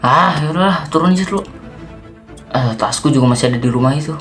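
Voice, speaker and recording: Man acting, young zombie survivor, game roleplay, weary, jumpy, self-muttering, exclaiming, slangy, medium pitch, background music. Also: fast, a bit bright, lightly acted. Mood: puzzled, wary. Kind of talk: improvised Minecraft roleplay, talking to himself.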